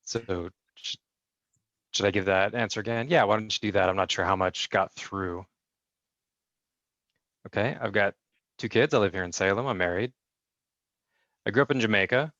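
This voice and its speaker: A man working as a sign language interpreter, voicing a deaf guest's answers in the first person in a measured way, with frequent fillers and restarts, heard over a video call. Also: on the low side, fairly bright tone, no room sound, highs cut off.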